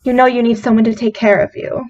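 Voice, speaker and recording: Slightly dull recording, woman as fond young lady, higher-pitched, gentle, caring, radio drama. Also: little pitch movement, quick pace, natural voice. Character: kind, practical young woman, fond sweetheart. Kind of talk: old-time radio mystery acting.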